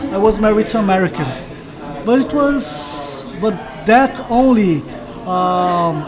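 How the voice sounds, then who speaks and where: A young Brazilian man with a Portuguese accent, a missionary guest speaking earnestly in simple phrasing. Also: high-pitched. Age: young adult. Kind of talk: casual interview. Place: cafe, indoors, busy.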